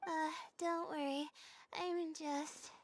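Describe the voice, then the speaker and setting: Young student girl for a game: high, girlish, anxious, hesitant, tired. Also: flat delivery, slow pace, a little hiss or hum. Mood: sheepish. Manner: hesitant, stammering. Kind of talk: video game voice acting.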